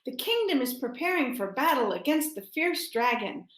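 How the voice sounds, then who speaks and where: A woman voicing an excited, imaginative young male lion in a children's storybook, eager and dramatic. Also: high-pitched, normal speed. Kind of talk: children's story read-aloud. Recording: roomy echo.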